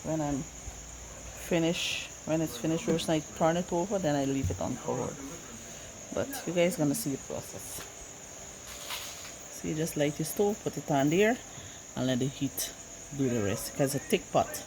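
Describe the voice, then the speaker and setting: Female cooking vlogger, Guyanese accent, low register, casual think-aloud chat, clearly noisy home recording with insects. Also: lively intonation, normal speed. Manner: thinks aloud, repeating phrases.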